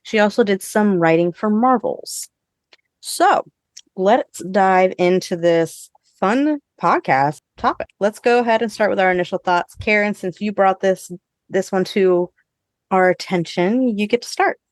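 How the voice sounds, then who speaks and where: A woman's voice: medium pitch, unhurried, dry recording, clean studio sound. A woman hosting a podcast, leading a casual conversational discussion. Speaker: podcast host.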